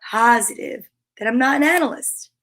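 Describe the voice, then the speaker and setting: A woman's voice: fairly high pitch, normal speed, fairly bright tone. A woman hosting a live children's story time, reading aloud and chatting to viewers with playful, encouraging exclamations. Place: at home.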